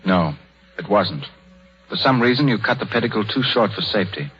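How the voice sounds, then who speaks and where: An actor playing a young, idealistic, self-sacrificing surgeon with a wry bitterness in a 1930s radio drama. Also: male voice, lowish voice, animated, a little fast, slight background noise, natural voice. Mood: grave, restrained. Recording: muffled 1930s radio broadcast with music.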